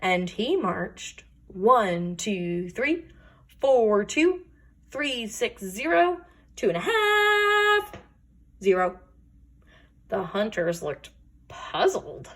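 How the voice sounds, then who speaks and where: A woman storyteller reading a children's picture book aloud with expressive, deliberate emphasis. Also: mid-range voice.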